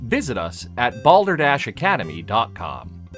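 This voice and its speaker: A man's voice: mid-range voice, huge pitch swings, moderate pace, a bit bright, faint music behind. A man hosting a podcast in a casual, conversational interview style. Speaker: podcast host.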